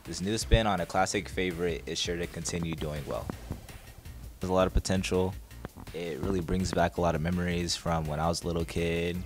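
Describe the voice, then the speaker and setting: A man's voice: low voice, fairly even intonation, brisk. A man presenting a video game segment in scripted narration. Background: music.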